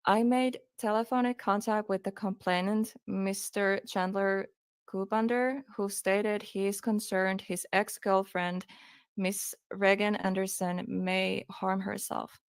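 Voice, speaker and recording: A young woman with a Finnish accent, reading a true crime case aloud on a live stream, hesitant with many pauses and fillers. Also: medium pitch, steady tone, clean tone, dry recording. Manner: hesitant, many ums, reads quotes aloud.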